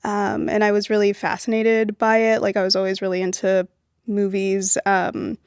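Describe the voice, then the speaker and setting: A young woman from Venezuela, raised in Miami, talks easily about her film work, her sentences full of loose fillers. Her voice sits at a middle pitch with a noticeable gravelly fry. She is recorded close and dry. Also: normal speed, no room sound. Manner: relaxed, with frequent "um" and "like" fillers. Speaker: guest, film producer. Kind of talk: casual interview conversation.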